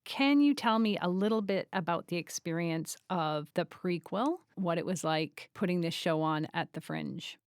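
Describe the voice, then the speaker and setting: A woman's voice: on the low side, moderate pace, hardly any pauses, clean tone, no echo. A woman hosting a podcast, giving scripted introductions and interview questions. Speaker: podcast host, director and playwright. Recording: music under the voice.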